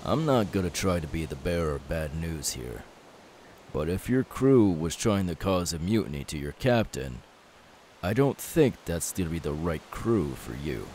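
Casting: young pirate male performer, low-pitched, easygoing and reassuring, for intimate ASMR roleplay. Light hiss on the track. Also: big pitch swings, moderate pace, a little mellow. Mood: earnest. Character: easygoing young pirate man, kindly and self-assured. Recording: faint background hiss.

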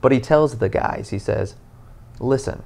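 A man in his thirties, a pastor, talking through a passage on a couch in an earnest, animated way, with lots of "you know". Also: medium pitch, unhurried, creaky. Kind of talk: casual podcast conversation. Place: living room, on a couch, indoors.

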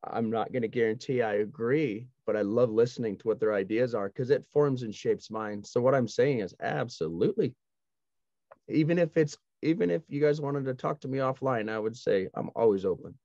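Middle-aged male panelist, medium pitch, earnest and emphatic, close dry headset on a video call. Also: normal speed, warm tone, no echo. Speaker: discussion panelist. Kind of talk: earnest explanatory discussion. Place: indoors, dark backdrop. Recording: dull video call audio.

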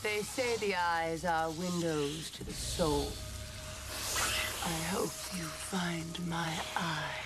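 An actress playing a seductive, menacing snake-haired gorgon in a film, with silky, drawn-out taunts that sink into breathy whispers. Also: female voice, lowish voice, heavy background noise. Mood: taunting, seductive. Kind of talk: film acting. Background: film music.

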